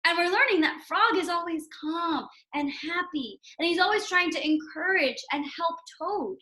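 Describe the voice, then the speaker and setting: A woman's voice: very high-pitched, expressive, moderate pace, sharp tone. A woman teacher giving a read-aloud lesson for young children, instructive and emphatic, often checking in with a questioning tag. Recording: roomy, echoing sound.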